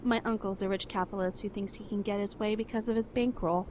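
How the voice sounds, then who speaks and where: A woman playing a haughty, sharp-tongued young 1930s heiress, formal and dismissive, in a noir audio drama. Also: mid-range voice, steady tone, fast, slight background noise, close to an everyday voice. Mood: cool, teasing.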